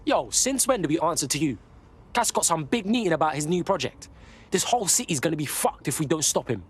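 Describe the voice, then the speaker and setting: A blunt young resistance operative in a game. The voice is husky yet a bit high, with lively intonation and a slangy, challenging manner. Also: male voice, a little fast. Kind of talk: game cutscene dialogue.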